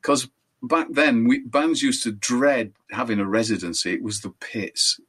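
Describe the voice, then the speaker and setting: An older man, a musician being interviewed, telling rambling anecdotes in a relaxed way and correcting himself as he goes. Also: measured pace, breathy edge. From England. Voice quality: high voice. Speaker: musician guest.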